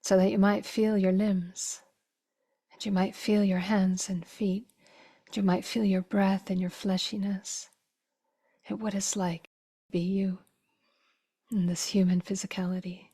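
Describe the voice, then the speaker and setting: A woman guiding a meditation in a soft, breathy voice that often drops toward a whisper, with slow, gentle phrases and long pauses. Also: medium pitch, little pitch movement. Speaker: meditation teacher. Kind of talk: guided meditation.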